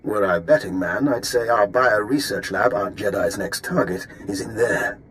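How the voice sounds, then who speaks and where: A weary, cerebral spy analyst acted by a man in a video game, his voice fairly low. He talks formally and with dry deliberation, over noticeable background ambience. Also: moderate pace, a bit bright, slight background noise, close to an everyday voice. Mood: businesslike. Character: measured, weary Imperial intelligence operative, dry and cerebral.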